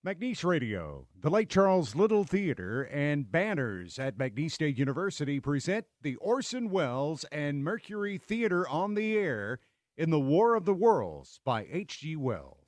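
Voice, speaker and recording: A man's voice: a little high, sing-song, measured pace, dry recording, clean studio sound. An actor playing a grand, formal male announcer of an old-time radio show.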